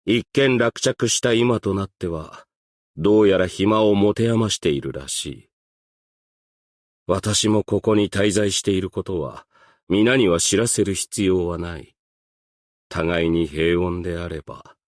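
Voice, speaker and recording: An ancient strategist from a video game, composed and stern. His voice is low and he speaks slowly, in formal, old-fashioned, reserved phrases. The sound is close and dry. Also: no room sound. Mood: calm, aloof. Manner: formal, archaic, measured, reserved diction. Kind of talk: scripted game character voice lines.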